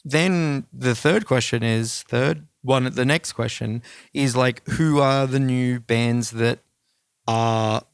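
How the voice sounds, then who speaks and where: A man's voice: medium pitch, takes it slowly, vocal fry, clear, resonant tone, dry recording, clean studio sound. A man co-hosting a casual music discussion, talking in an informal, rambling and opinionated way. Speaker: podcast co-host.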